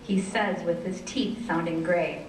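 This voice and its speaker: A woman's voice: fairly low pitch, fairly even intonation, a little slow. A woman narrating a rhyming children's tale in readers theater style. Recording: roomy, distant, faint noise.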